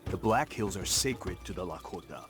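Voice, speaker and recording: An actor playing an earnest, principled Lakota man in a video game, with a measured, firm and serious manner. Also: low voice, animated, music in the background. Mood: defiant. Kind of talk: scripted game cutscene acting and narration.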